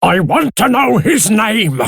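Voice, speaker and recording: A man voicing a fiery, anguished older uncle in an audiobook novel, forceful and emotional. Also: high voice, big pitch swings, unhurried, dry recording, clean studio sound, pitched above the performer's own voice, exaggerated voice. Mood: furious. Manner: forceful, emotional, often shouting.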